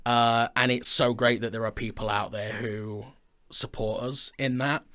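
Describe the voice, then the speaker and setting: A man's voice: mid-range voice, flat delivery, measured pace, sharp tone. A man co-hosting a casual studio chat about his creative work, speaking in an informal, enthusiastic way. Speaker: co-host, audio drama creator and film director. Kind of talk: casual chat, announcing projects. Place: indoor studio.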